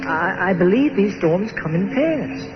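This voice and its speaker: An actor playing a courteous, earnest archaeologist from the nineteenth century, stranded on an island, telling his tale formally and haltingly in old time radio style. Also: high-pitched, very animated. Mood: earnest explaining. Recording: muffled radio-show recording with music behind.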